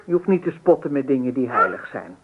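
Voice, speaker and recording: An older grandmother in a radio play, fussing and clucking with reproach. Her delivery is lively and swooping. The sound is tinny and narrow, with clear noise over it. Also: rumbling low, fast, full character voice. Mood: reproachful. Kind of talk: radio drama acting. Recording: tinny, narrow-band, noisy old radio recording.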